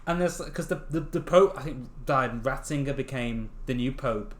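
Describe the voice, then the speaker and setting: A woman's voice: extremely low pitch, normal speed. A woman chatting casually with a co-reader in a sweary, irreverent way. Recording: echoey room, faint background noise.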